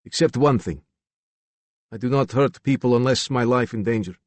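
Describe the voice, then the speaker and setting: A man voicing a young, calm and dangerous Yugoslavian gunman with a Slavic accent and clipped broken English, in an audiobook. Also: mid-range voice, normal speed, no echo, natural voice. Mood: cool, matter-of-fact. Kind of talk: audiobook character dialogue.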